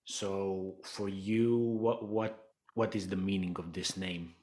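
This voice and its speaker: A man's voice: low voice, fairly even intonation, slow, a little mellow. A man hosting a podcast, asking curious, probing questions of his guest. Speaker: podcast host. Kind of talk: interviewing in a podcast.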